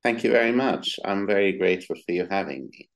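A man's voice: deep voice, expressive, brisk. A middle-aged man from Egypt, a psychiatrist answering interview questions with many hesitant ums and uhs. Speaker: psychiatrist guest.

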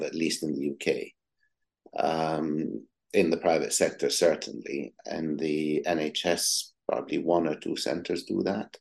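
A man's voice: rumbling low, gravelly, a little mellow. A middle-aged man from Egypt, a psychiatrist answering interview questions with many hesitant ums and uhs. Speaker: psychiatrist guest.

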